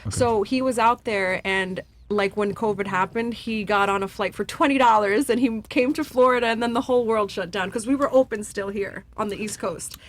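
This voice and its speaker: A woman's voice: mid-range voice, moderate pace, hardly any pauses. A woman in her forties from New York, a brash podcast guest who talks up herself and swears casually. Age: 40s. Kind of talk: casual panel chat.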